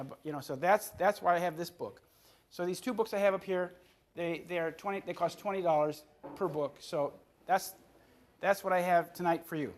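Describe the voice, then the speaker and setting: A man in his fifties from the Chicago area, an author giving a public talk to an audience, often repeating words and restarting his phrases. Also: high-pitched. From Chicago area, USA. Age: 50s. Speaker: author on a book tour.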